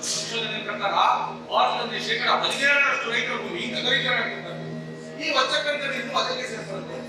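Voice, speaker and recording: A man playing a scheming village middleman in an amateur stage play, loud, declamatory and bargaining. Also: very high voice, brisk. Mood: commanding, sly. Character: scheming male village middleman brokering farmland. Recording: echoey hall, distant mic, music behind.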